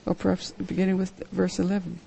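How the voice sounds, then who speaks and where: A man preaching a sermon in English, which is not his first language, halting and restarting often and asking his listeners questions. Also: high voice, monotone, vocal fry, mellow.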